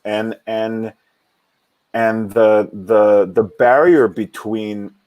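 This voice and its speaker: A man from Hawaii, an opera singer, talking reflectively in an interview with many halting restarts and repeated words. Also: on the low side. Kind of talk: reflective casual interview talk.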